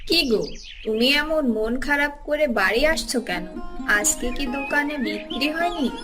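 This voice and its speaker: A woman's voice: sits high, animated, normal speed, over music. A woman playing a village wife in a cartoon moral tale, coaxing and persuasive as she eggs her husband on. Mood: concerned. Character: village wife, coaxing and eager for more treasure. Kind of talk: Bengali cartoon moral-story voice acting.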